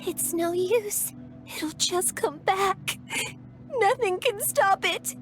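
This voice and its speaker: A woman voicing a tiny, terrified fairy companion in a video game, squeaky and childlike, trembling with despair. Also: slow pace, fairly bright tone, soft background music, heavily acted.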